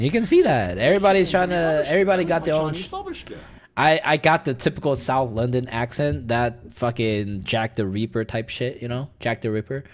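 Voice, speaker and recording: A young man whose first language is Mandarin, chatting loosely and profanely while reacting on a stream. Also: medium pitch. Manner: rambling, profane, filler-heavy. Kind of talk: casual reaction stream chat.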